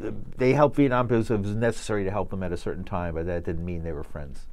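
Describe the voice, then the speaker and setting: A man with a fairly low voice hosts an interview. He frames questions in long, winding sentences and keeps the talk going with short acknowledgments. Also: steady tone, fast talker, darkish tone, slight background noise. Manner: long, rambling questions with backchannel replies. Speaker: interviewer, host. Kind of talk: conversational interview.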